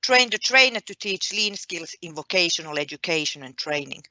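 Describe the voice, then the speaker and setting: A woman presenting a webinar as its host and coordinator, speaking in a deliberate, explanatory way. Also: fairly low pitch, expressive, pushed and bright, no echo. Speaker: project coordinator hosting a webinar.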